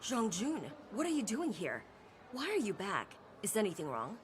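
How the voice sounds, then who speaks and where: An actress playing a busy, exasperated member of hospital staff in a dubbed TV medical drama. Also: female voice, medium pitch, wildly swooping pitch, moderate pace, a little hiss or hum. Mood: wary, brisk.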